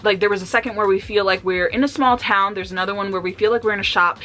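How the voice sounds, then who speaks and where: A woman chatting casually and candidly about books for a vlog, with an animated, slangy, giggly way of talking. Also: mid-range voice, fast talker. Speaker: book reviewer vlogger.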